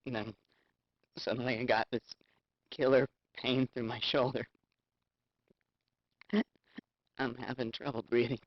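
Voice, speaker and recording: A man's voice: mid-range voice, level tone, measured pace, vocal fry, pauses often, no room sound, lo-fi sound. A man playing a shaken, injured patient who is short of breath, in a video game. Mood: shaken, hurting. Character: shaken injured man recounting being stabbed. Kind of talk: FMV game acting.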